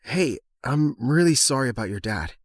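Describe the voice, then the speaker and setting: A man playing an earnest, lovesick teenage schoolboy in an expressive, emotional story dialogue. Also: mid-range voice, moderate pace, no echo, studio quality, close to an everyday voice. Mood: sympathetic.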